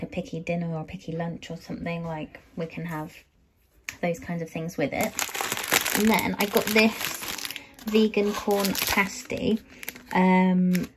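A woman's voice: mid-range voice, a little hiss or hum. A British woman in her thirties chatting casually at home about her weekly grocery shopping, with frequent ums and "so yeah". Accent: British English. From United Kingdom. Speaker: vlogger. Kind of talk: casual grocery haul chat. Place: home kitchen, indoors.

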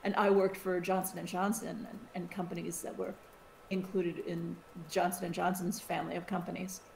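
A middle-aged woman from the United States, co-hosting a podcast in a casual, animated chat with frequent restarts and side remarks. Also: on the low side, moderate pace, vocal fry. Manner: chatty, animated, with many restarts. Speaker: podcast co-host. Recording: roomy sound with faint hiss.

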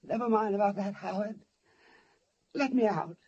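A woman's voice: medium pitch, normal speed, mellow, breathy edge, muffled recording, natural voice. An actress playing a frightened older woman in an old radio thriller, anxious, pleading and placating. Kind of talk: 1940s-50s radio thriller acting. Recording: noisy old-time radio recording.

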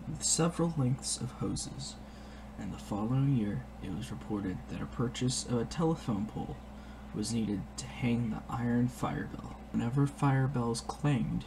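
A man's voice: medium pitch, vocal fry. A man narrating a piece of history from a script. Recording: noisy recording with background ambience.

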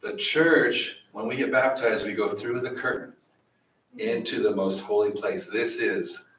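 A middle-aged man teaching a Bible study in a small church room, animated, with questions for the audience. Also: fairly low pitch, a little slow, a little hiss or hum. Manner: animated, gestures widely, asks the audience questions. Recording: echoey room, voice from far off.

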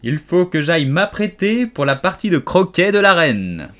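A man voicing a brusque, violent, grumbling Duchess in a storybook, with a hoarse, abrupt delivery. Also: on the high side, very animated, normal speed, a bit bright, pitched above the performer's own voice. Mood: brusque, irritated. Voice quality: hoarse, gruff. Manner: abrupt, bellowing.

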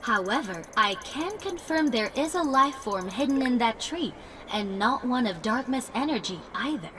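A woman's voice: fairly high pitch, lively intonation, moderate pace, background noise, close to an everyday voice. A woman voicing an analytical, matter-of-fact artificial intelligence companion in a video game, precise, dry and formal. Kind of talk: scripted video game exposition.

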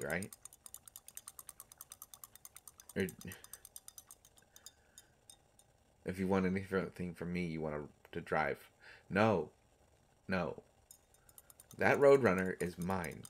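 A man voicing an affectionate, mischievous vampire doctor in an intimate romantic audio roleplay, speaking in a halting, teasing way. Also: low voice. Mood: playfully exasperated. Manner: halting, teasing, soft-hearted speech. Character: gentle, mischievous male vampire doctor, centuries old, affectionate.

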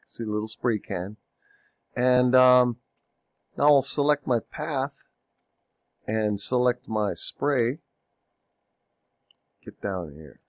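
A man getting over a cold, narrating a software tutorial over a screen recording, unhurried and thinking aloud with ums and self-corrections. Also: medium pitch, dry recording, clean studio sound.

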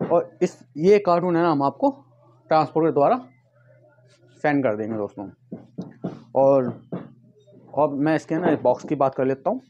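A man casually pitching products to camera, often addressing viewers as friends and leaning on repeated verbal tics. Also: normal speed, pauses often, a little mellow, dull audio. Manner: repetitive filler phrases, addresses viewers as friends. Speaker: vlogger and shoe seller. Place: tiled room at home with stock boxes, indoors. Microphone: clip-on lapel mic. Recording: noticeable background noise, clicks.

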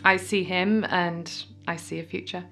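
An actress playing a resentful, wistful young sister in an audio play monologue, measured and confiding. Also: female voice, fairly low pitch, fairly even intonation, creaky, fairly bright tone, soft background music. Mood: wistful, hopeful.

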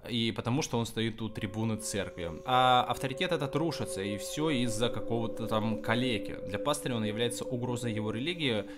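A man's voice: medium pitch, brisk, hardly any pauses. A man giving an informal review commentary on animation. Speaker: video reviewer.

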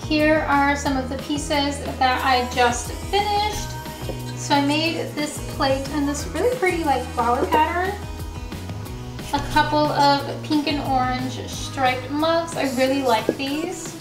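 A young woman chatting casually through a home vlog about her ceramics, full of "like" fillers, a little hoarse as she loses her voice. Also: high-pitched, unhurried, roomy sound, music in the background, rough recording. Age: young adult. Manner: chatty, many "like" fillers, upbeat. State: losing her voice. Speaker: ceramics small business owner vlogging. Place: home studio office and dining room, indoors. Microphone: camera mounted on window, handheld at times.

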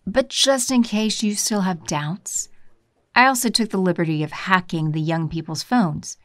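A woman's voice: lowish voice, normal speed, dry recording, clean studio sound, natural voice. Poised, scheming villain empress played by a woman. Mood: smug, poised. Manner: poised, smug, condescending. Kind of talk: audio drama acting.

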